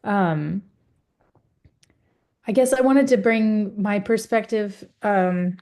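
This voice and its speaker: A young woman speaking on a webinar panel over a video call, earnest and halting, with many fillers and restarts. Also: mid-range voice, dark tone. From United States. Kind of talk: webinar testimony.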